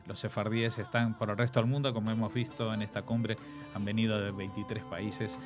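A man's voice: fairly low pitch, level tone. Male interview host, music behind him. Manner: halting, searching for words, repeating. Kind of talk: conversational interview.

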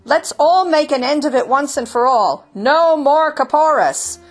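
A woman's voice: high-pitched, lively intonation, measured pace, faint music behind, pitched above the performer's own voice. A woman voicing a defiant male rooster in a storybook, urgently rallying and commanding his flock. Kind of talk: storybook character voice.

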